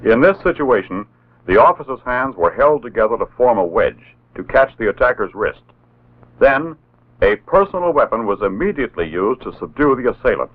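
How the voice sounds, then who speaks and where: An American man narrating a 1960s instructional film script in a formal, authoritative, measured way. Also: mid-range voice, sing-song. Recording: tinny narrow-band old film soundtrack.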